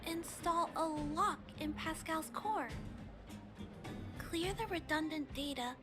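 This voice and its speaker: A gentle young girl in a video game, her voice very high and light. She speaks softly, politely and earnestly. Clear game music runs underneath. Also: slow.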